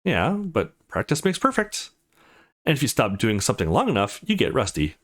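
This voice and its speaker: Man reading as an animated, playfully bossy young guy. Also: medium pitch, moderate pace. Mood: laid-back. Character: easygoing young man playing bossy teacher in visual novel.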